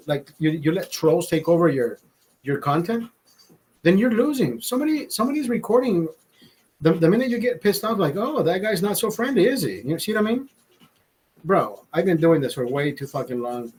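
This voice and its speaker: A man in his forties with a notably high voice, chatting loosely to his livestream viewers. He rambles, swears freely and restarts phrases, and he sounds a little tipsy as he sips beer. His pep talks swing loud and emphatic. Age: 40s. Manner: loud emphatic motivational rants. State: drinking beer, a bit tipsy. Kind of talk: casual livestream chat.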